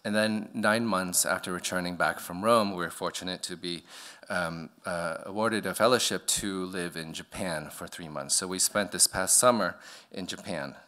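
A middle-aged architect, a man born in Vietnam and raised in the US, gives a slide lecture from a podium in an auditorium, pausing often with fillers and restarts. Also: deep voice, pushed and bright. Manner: hesitant, many fillers and restarts. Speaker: architect giving a talk. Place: dark auditorium stage at a podium.

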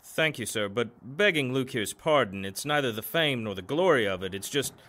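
An actor playing a respectful, plain-spoken Roman officer of the ranks in an audio drama. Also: male voice, mid-range voice, expressive, moderate pace, a bit bright, slight background noise, natural voice. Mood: deferential. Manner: plain, deferential soldierly talk. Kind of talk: full-cast audio drama acting.

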